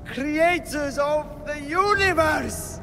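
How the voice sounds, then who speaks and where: A man's voice: very high voice, slow. A man playing a theatrical, fanatical devotee of the gods in a video game cutscene. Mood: ecstatic, fervent. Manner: theatrical, grandiose. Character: fanatical male devotee seeking eternity among the gods. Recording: long hall reverb under loud music.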